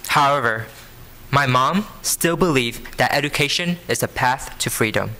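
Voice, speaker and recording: A man in his early twenties with a Vietnamese accent, giving an earnest prepared speech to an audience, phrasing deliberately. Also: mid-range voice, sharp tone, slight background noise, low-quality recording. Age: early 20s. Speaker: scholarship program student speaker. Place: live benefit event before an audience.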